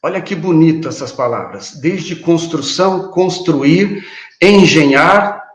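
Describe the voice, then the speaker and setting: A man's voice: on the high side, expressive, slow pace, roomy sound. Earnest man lecturing on a video call. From Paraná, Brazil. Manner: earnest, reflective, with frequent hesitations. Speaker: guest speaker, behavioral trainer. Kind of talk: online lecture talk.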